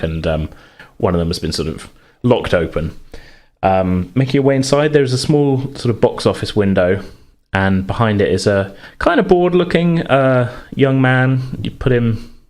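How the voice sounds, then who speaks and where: A man narrating a tabletop role-playing game as game master, in a halting, searching delivery. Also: lowish voice, a little mellow.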